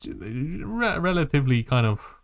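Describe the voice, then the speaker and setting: A man's voice: on the high side, sing-song, a little slow, vocal fry. Rambling, sweary man chatting casually. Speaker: podcast co-host. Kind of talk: casual movie and game chat.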